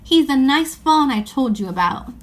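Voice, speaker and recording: A woman voicing a friendly, eager youngest schoolgirl in a children's story, speaking gently. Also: sits high, lively intonation, normal speed.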